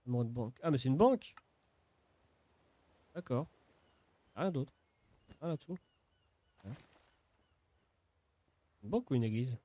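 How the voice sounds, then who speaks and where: A man's voice: medium pitch, expressive, measured pace, pauses often, warm tone, dry recording, lo-fi sound. A man giving casual, informal gameplay commentary as a video creator, often repeating and restarting his phrases.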